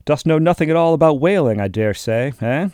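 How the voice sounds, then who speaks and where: A gruff old sea captain, put on by a male narrator, loud and raised in pitch over the reader's own voice, blustering and suspicious. He fires question after question in old-fashioned speech. The tone is dark and the sound is dry and close. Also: lively intonation, brisk, no room sound, natural voice.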